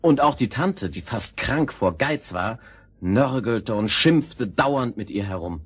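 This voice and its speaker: A man narrating a scripted fairy tale in a husky voice, measured and expressive. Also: mid-range voice, faint music behind.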